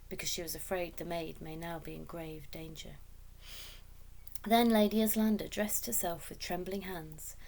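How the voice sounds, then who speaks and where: A woman reading a children's story aloud as expressive scripted narration. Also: lowish voice, creaky, poor audio. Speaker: story reader.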